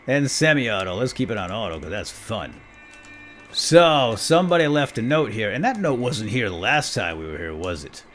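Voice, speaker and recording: A man hosting a let's play, giving casual gameplay commentary with playful exclamations and asides. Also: mid-range voice.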